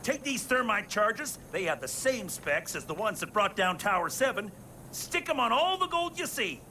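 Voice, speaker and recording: High, manic American conspiracy nut. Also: male voice, moderate pace, slight background noise, exaggerated voice, rough recording. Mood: fired up. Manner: folksy, excitable, ranting. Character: manic ranting male conspiracy nut, gung-ho militia type. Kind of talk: video game cutscene acting.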